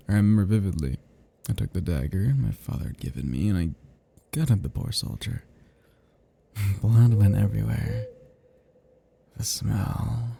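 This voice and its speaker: A man playing a low, dark-toned seductive vampire. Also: a little hiss or hum. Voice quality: low, soft, breathy murmurs dropping into whispers. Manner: teasing, slow, lingering, chuckling between phrases. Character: seductive, menacing, possessive male vampire, playfully sinister. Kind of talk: intimate roleplay ASMR monologue.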